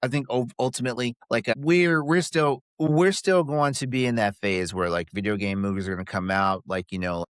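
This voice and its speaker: A man with a mid-pitched voice chats casually as a podcast co-host. He is hesitant, circling back over phrases as he thinks. The recording is dry, with very little room sound. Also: brisk, few pauses, a bit bright, smooth, no room sound. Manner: hesitant, repeats phrases as he forms thoughts.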